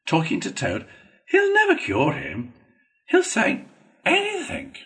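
A man's voice: high voice, wildly swooping pitch, takes it slowly, pushed and bright, pitched above the performer's own voice, exaggerated voice. A man plays a sceptical rat in a storybook. He sounds scornful and doubting, lifting well above a normal male register with swooping intonation. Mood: contemptuous. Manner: contemptuous, doubtful. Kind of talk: storybook character reading.

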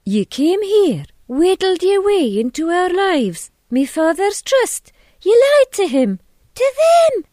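A woman's voice: extremely high pitch, very animated, takes it slowly, dark tone, dry recording, clean studio sound, pitched above the performer's own voice, exaggerated voice. A woman voicing a prim, indignant young country wife in an audiobook story, speaking emphatically. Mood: rising outrage.

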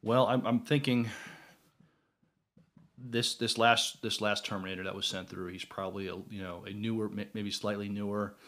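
Man, low pitch, casual podcast discussion, hesitant, repeated words, thinking aloud. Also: fairly even intonation, moderate pace, fairly bright tone. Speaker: podcast co-host.

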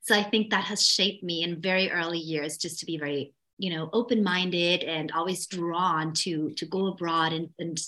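A woman's voice: fairly low pitch, steady tone, normal speed, hardly any pauses, bright, forceful tone. A woman from Austria, a guest on a podcast, answering interview questions earnestly with hesitant fillers. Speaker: expat coach, guest.

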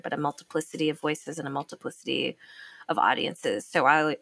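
A woman's voice: deep voice, creaky, sharp tone, no room sound. A woman who writes criticism and teaches, speaking in a reflective conversation and searching for her words.

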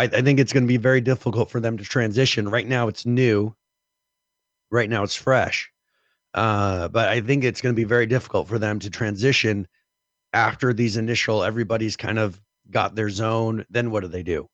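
A man's voice: on the low side, fairly even intonation, normal speed, no echo, lo-fi sound. A man co-hosting a gaming podcast, his voice scratchy from a cold, explaining at length in casual chat. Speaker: podcast co-host.

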